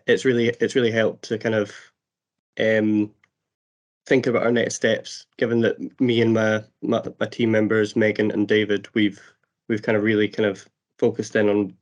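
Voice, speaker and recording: A man's voice: on the low side, a little mellow. A man with a cold presenting on a webinar video call, casual and hesitant, with many fillers. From Scotland. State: has a cold.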